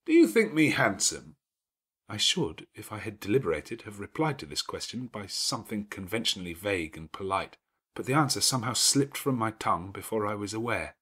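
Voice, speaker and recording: A man reading a classic novel as an audiobook, with measured, formal and expressive phrasing. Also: fairly low pitch, breathy edge. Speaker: audiobook narrator, actor. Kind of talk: scripted literary narration, expressive.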